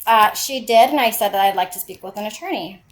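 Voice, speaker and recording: A woman's voice: mid-range voice, fast. A woman calling to file a complaint on a recorded phone call, speaking in an insistent, persistent way. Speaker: caller filing a complaint.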